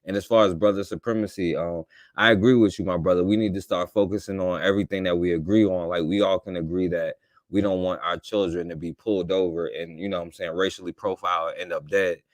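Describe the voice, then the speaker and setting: A man's voice: deep voice, steady tone, fast talker, no room sound. A man hosting a casual talk radio panel in a laid-back, conversational way. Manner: laid-back, conversational, addresses guests as brother. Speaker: radio show host.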